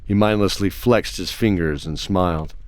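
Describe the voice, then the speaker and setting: A man serving as narrator, reading scripted fantasy storytelling in a measured manner. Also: low voice, clear, resonant tone, no room sound.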